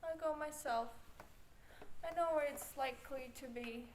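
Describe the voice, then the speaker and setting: Woman playing a prim, high-voiced spinster, echoey. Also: unhurried. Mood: prim, fussy. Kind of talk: amateur student stage play acting. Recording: echoey, noisy amateur stage play recording.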